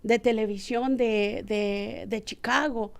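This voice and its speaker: A woman's voice: fairly high pitch, steady tone, slow pace, creaky. A woman in her forties from the Texas border, telling her story casually and humbly as an interview guest, with hesitant fillers. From Texas border region, Mexican family. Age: 40s.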